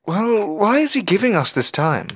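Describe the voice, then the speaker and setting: Anxious, high-pitched young man played by an actor. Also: sing-song, normal speed, slight background noise. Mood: doubtful. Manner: hesitant, stammering, old-fashioned politeness. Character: anxious, proper young male spell-caster in his twenties. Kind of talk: audio drama acting.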